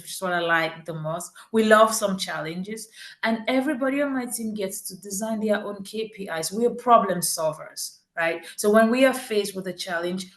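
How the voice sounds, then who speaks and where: A woman's voice: mid-range voice, reverberant. A woman from Cameroon, a marketing executive answering interview questions earnestly, often rephrasing herself mid-sentence.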